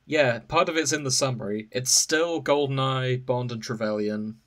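A man's voice: medium pitch, moderate pace, a bit bright. A man hosting a podcast, chatting casually with his co-hosts. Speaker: podcast host. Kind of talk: casual chat.

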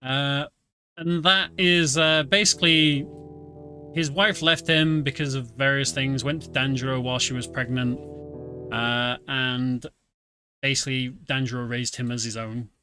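Bright-voiced man commentating a game. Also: medium pitch, steady tone, measured pace. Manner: explains as he plays, informal. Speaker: streamer, speedrunner. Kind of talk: casual live game commentary. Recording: game music behind the voice. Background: video game music and vehicle sounds.

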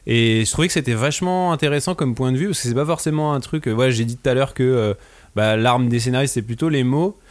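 A man's voice: mid-range voice, fast. A man co-hosting a podcast, talking in long, rambling stretches full of fillers. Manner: rambling, chatty, lots of "tu vois". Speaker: podcast co-host. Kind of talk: casual podcast discussion.

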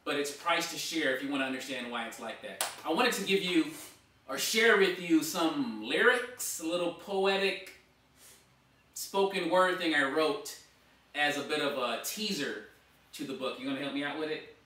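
A man's voice: on the high side, moderate pace. A man in his thirties, a blogger and author, talking casually to camera and gesturing a lot as he speaks. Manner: animated, gesturing with both hands. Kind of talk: casual vlog talk to camera. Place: indoor dining room. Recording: echoey room from far off.

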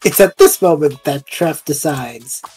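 Low-voiced woman playing a tabletop game. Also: expressive, a little slow, a little mellow, husky, soft background music. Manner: loose, conversational. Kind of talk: casual improvised play narration.

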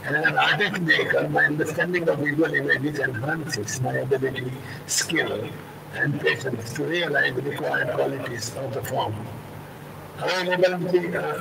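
An older man from India with a Gujarati-influenced accent, reading a prepared talk on a video call in a slow, halting way. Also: medium pitch, creaky, roomy sound, distant mic, very noisy. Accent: Gujarati-influenced English. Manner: slow and halting, with many uh pauses. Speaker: sculptor and panel speaker. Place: room at home, indoors. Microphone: laptop mic on a video call.

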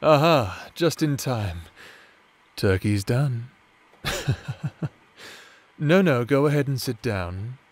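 A man's voice: mid-range voice, lively intonation. A man playing a doting, theatrical necromancer husband in a romantic ASMR audio drama, teasing and formal in his diction. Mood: playful, affectionate. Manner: teasing, slow, formal old-fashioned diction. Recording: soft instrumental music bed behind voice.

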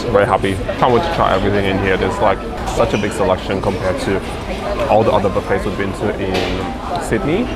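A young man casually reviewing buffet dishes as he eats, filmed close on a handheld camera in a big restaurant. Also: fairly low pitch. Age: young adult. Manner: chatty, eats while reviewing each dish. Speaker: food vlogger. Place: large indoor buffet restaurant. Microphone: handheld camera close to face.

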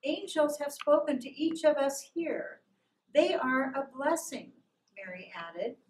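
A woman with a fairly high voice reads a devotional story slowly, phrase by measured phrase. She narrates as if for a church puppet show. The sound is roomy, picked up from a distance. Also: animated. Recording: echoey room from far off, noisy.

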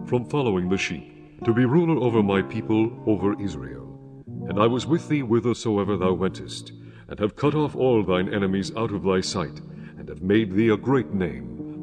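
An actor playing the solemn, commanding voice of God in a dramatized Bible reading, stately and authoritative. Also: male voice, lowish voice, natural voice. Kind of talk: dramatized Bible audio acting.

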